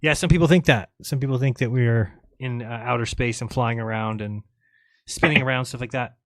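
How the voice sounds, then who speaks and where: A man's voice: mid-range voice, a little fast. A man hosting a live call-in show, chatting casually and joking with callers.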